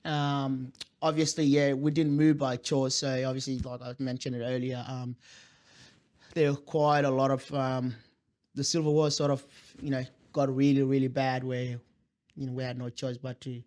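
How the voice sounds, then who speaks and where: A young man from South Sudan, a native Dinka speaker, recounts his past as an interview guest. He talks in a flat, even tone and often stops and starts with fillers. His pitch sits at an ordinary middle height. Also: moderate pace. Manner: hesitant, many fillers and restarts. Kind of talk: interview storytelling.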